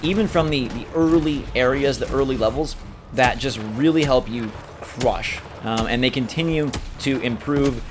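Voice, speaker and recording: A man's voice: mid-range voice, normal speed. Man doing gameplay commentary over game noise. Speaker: gameplay video host. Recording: game sound loud behind voice. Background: video game action noise.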